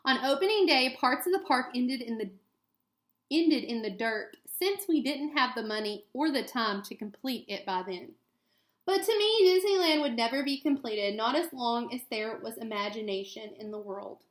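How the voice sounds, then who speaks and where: Echoey, higher-pitched woman reading aloud. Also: moderate pace. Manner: expressive, child-directed storytelling delivery. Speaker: elementary school teacher. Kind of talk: reading a children's picture book aloud with asides to students. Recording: echoey room sound.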